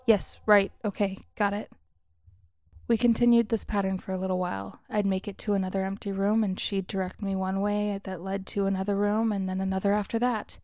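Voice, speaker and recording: A woman's voice: medium pitch, little pitch movement, quick pace, few pauses, dark tone, no room sound, studio quality. A woman storyteller reading a scripted first-person story as narration. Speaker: storyteller, author.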